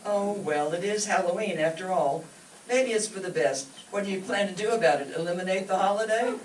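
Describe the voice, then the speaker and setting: A woman's voice: medium pitch, a little fast, natural voice. A woman playing a firm, practical wife and mother in a 1940s radio comedy. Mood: resigned, wry. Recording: echoey hall, distant, noisy.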